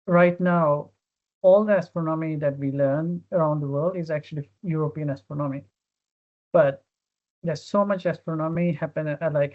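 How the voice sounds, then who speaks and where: A woman from Sri Lanka talks through an idea on a panel, her pitch staying flat and even. She often repeats words while she searches for the next thought. The audio is dull and lo-fi, like a video call. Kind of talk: panel discussion.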